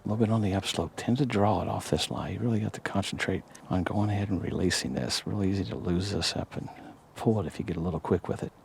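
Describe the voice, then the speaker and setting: A man's voice: low-pitched, fast, gravelly, a little hiss or hum. A man commenting on a golf broadcast, explaining the technique behind shots. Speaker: golf analyst.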